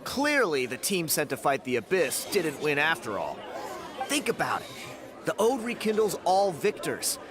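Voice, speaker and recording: A man's voice: a little high, very animated, normal speed, crowd noise. A man playing a smug, spiteful young warrior in a video game, sneering and insinuating. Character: smug, spiteful young male warrior, scornful rumor-monger. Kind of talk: English video game voice acting.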